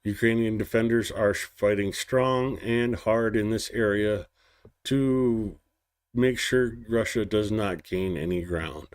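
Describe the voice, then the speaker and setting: Slow, careful man reading war news. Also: lowish voice. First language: English. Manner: slow careful reading, stumbling over place names. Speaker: news show host.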